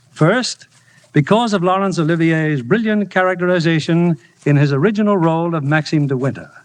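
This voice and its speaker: A man with a fairly high voice presents a radio theater as its host and producer. His delivery is formal 1950s broadcast speech, heard on a vintage radio recording.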